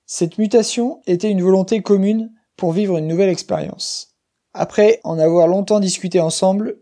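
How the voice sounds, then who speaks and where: A man in his twenties from western France, reading a scripted personal story slowly and clearly for language learners. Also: high voice, mellow. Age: 20s. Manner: slow, clear, careful reading. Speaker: guest speaker.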